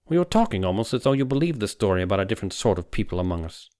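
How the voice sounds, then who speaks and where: A man voicing a young male doctor in an audiobook, sharp, skeptical and challenging. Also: on the low side, sing-song, racing, clear, resonant tone. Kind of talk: audiobook character voice.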